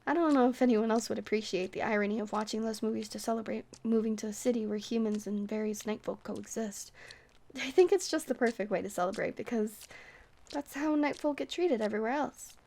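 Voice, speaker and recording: A woman playing a friendly vampire bartender who rambles gently and whimsically in an ASMR roleplay. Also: on the high side, a little fast, creaky. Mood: earnest, warm.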